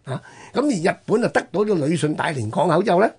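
Male history host, Hong Kong Cantonese, slightly high and husky, conversational storytelling in broken-up phrases. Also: very animated, fast, a little mellow. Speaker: radio history programme host.

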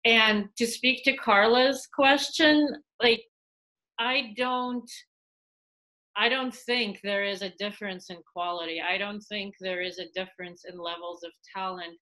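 Bright-voiced woman on a video call. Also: medium pitch, unhurried, rough recording. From Russia. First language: Russian. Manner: animated, gestures while talking, many fillers and restarts. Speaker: museum curator, guest. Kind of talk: casual video-call interview. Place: small room at home. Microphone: laptop webcam, video call.

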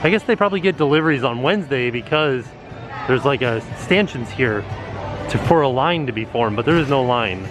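A man's voice: mid-range voice, animated, fairly quick. A man in his thirties chatting casually as a vlog host, commenting on what he sees. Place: out and about at an outdoor outlet mall and in shops. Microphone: handheld vlog camera near arm's length. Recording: background music and crowd chatter.

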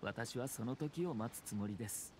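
A man voicing a composed, calculating young noble lord in an anime game, speaking formally and with measured politeness. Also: medium pitch, lively intonation, darkish tone, slight background noise, natural voice. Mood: calm, strategic. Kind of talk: anime-style video game dialogue.

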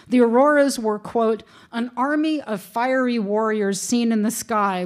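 A woman's voice: on the high side, a little mellow. A middle-aged woman reading a prepared lecture from notes at a lectern, with dry humorous asides, into a lectern microphone in a wood-paneled room.

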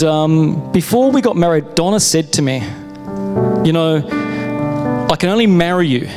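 Australian man telling his church testimony. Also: fairly high pitch. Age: middle-aged. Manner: conversational storytelling with self-interruptions. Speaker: guest speaker. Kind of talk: personal testimony. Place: dark church stage. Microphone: handheld mic.

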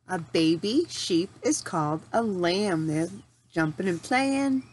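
A woman in her forties reading aloud to young children as their teacher, phrasing things simply and deliberately and asking them questions. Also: fairly low pitch. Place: home room in front of a large window. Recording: steady noticeable background noise.

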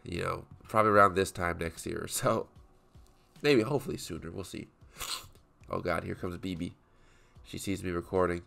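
A man's voice: sits low, moderate pace, creaky, pauses often, bright, forceful tone. A young man with a stuffed-up nose chatting casually while arranging toys for photos at his desk, rambling and correcting himself as he goes. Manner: rambling, self-correcting, offhand. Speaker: toy photography YouTuber. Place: home desk with small photo set.

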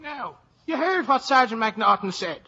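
Excitable, earnest Irish constable, extremely high plaintive voice, vintage radio comedy-drama. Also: male voice, unhurried, soft background music, exaggerated voice. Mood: officious. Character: excitable, mournful Irish village policeman, loyal sidekick. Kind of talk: 1940s-50s radio acting. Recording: dull old radio recording.

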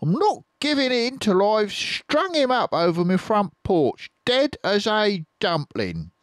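A cruel, bossy farmer barks his orders in a high, strained shout. An older man does the voice for a read-aloud storybook. He is angry and hard of hearing. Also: very animated, slow, no room sound, clean studio sound, exaggerated voice. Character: mean, deaf, bossy tall farmer.

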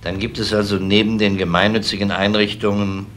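An actor playing a courteous, matter-of-fact police investigator questioning people in a crime radio play. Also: male voice, on the low side, level tone, brisk, a bit bright, slight background noise, close to an everyday voice. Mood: courteous, inquiring. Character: polite, probing male police investigator.

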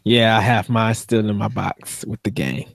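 A man's voice: on the low side, fairly even intonation, moderate pace, gravelly, no room sound. A young man co-hosting a casual gaming podcast, reasoning through his points earnestly on a studio mic at home. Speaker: podcast co-host. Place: home room with game posters. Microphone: studio mic on boom arm with headphones.

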